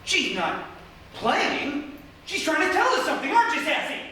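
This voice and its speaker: A man's voice: extremely high pitch, very animated, moderate pace, sharp tone, exaggerated voice. A man playing a bumbling, reassuring sheriff in live comedic radio theatre, cheerful and a little stammering. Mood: puzzled. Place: theatre stage in front of audience. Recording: echoey live theatre stage, distant, faint noise.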